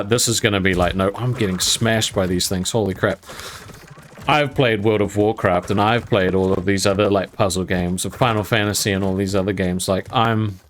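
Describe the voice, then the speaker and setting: A man's voice: on the low side, fairly bright tone. Rambling, laughing young man storyteller. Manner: rambling, laughs often, mild swearing. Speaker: gaming channel host. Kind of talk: casual storytime while gaming.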